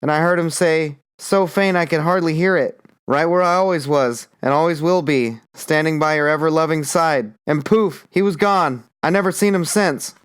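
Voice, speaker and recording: A folksy young rebel soldier rambling through a tall tale with a deferential drawl, as a man performs him in an audiobook. His voice is smooth and clear, sitting a little high. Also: moderate pace, hardly any pauses, lightly acted. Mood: wistful.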